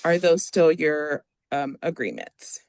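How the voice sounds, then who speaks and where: Gravelly-voiced attorney in court. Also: mid-range voice, sing-song, takes it slowly, darkish tone, dry recording. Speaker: attorney questioning a witness in court. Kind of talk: formal courtroom questioning and reading of requests.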